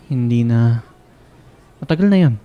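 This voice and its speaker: A man's voice: mid-range voice, expressive, slow, smooth, muffled recording. An actor playing a brooding, lovesick young male student in a radio drama. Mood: awkward, subdued. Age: young adult. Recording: background music under the dialogue.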